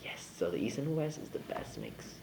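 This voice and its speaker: A man's voice: mid-range voice, wildly swooping pitch, brisk, creaky, a crowd in the background. A young man from Singapore, a singer chatting casually in an interview, playful and jokey, rambling with many fillers. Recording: roomy echo, faint ambience.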